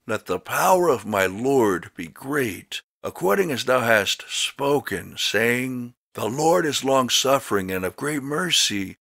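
Male Bible narrator, mid-pitched, solemn and declamatory, with wide expressive intonation and a dry, close studio sound. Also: creaky, no echo. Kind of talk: solemn dramatic scripture reading.

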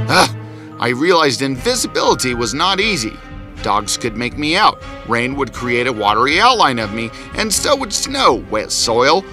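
A man's voice: mid-range voice, close to an everyday voice. A man playing an arrogant invisible scientist in a cartoon, telling his own story in a theatrical, boastful, scornful way. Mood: aggrieved. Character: arrogant invisible male scientist, gloating and restless. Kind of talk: dramatic first-person storytelling in a cartoon.

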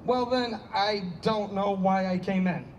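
A man's voice: high-pitched, fairly even intonation, measured pace, highs cut off. Man acting troubled, hesitant drinker, distant mic. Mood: apologetic, tentative. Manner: hesitant, self-doubting. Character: troubled, apologetic recovering heavy-drinking man. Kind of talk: staged play reading. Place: stage before a painted block wall. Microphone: handheld microphone. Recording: distant stage pickup with ambient noise.

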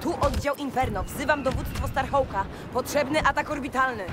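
A woman's voice: high voice, fairly quick, loud music behind the voice, natural voice. An actress playing a determined female military commander in a video game, terse and cool under fire. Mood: commanding. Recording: loud engine and battle noise.

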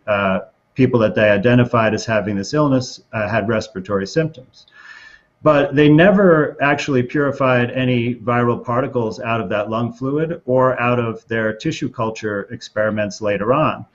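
A middle-aged man answers as an expert guest. His pitch is average and his delivery measured and methodical. The sound is a little dull, as on a video call. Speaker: interview guest, doctor. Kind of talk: explanatory interview answer.

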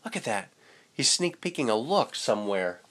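Expressive young man reading a children's story. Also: mid-range voice, moderate pace. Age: young adult. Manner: playful, expressive. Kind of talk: expressive read-aloud with casual asides to children. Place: indoors, small room with curtain.

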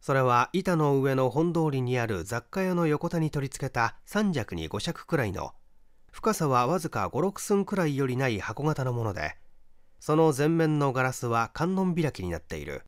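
A man reading a mystery story aloud as a dramatic audiobook, with measured, deliberate storytelling. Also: medium pitch, sing-song, dry recording, clean studio sound. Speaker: story reader, small-theatre performer.

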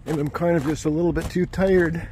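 A man's voice: fairly high pitch, fairly even intonation, fairly quick, mellow. A man talking to the camera while he drives on a road trip, rambling and correcting himself, swearing now and then, with the car's faint hum behind him. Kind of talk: casual chat while driving. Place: inside a car on the road. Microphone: phone camera mounted on the dash. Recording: faint car noise behind.

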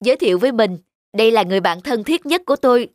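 An actor voicing a poor, kind-hearted, emotional scholar in an animated morality tale. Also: mid-range voice, rapid-fire, lightly acted. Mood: rueful, friendly. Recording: background music throughout.

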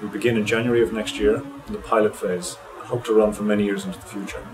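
A man in his thirties, a programme director, giving a steady, measured statement straight to camera outdoors. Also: lowish voice, crowd noise, rough recording. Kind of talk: scripted interview to camera. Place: outdoors by a building with shrubs. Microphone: close mic, framed head and shoulders. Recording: music bed under the voice.

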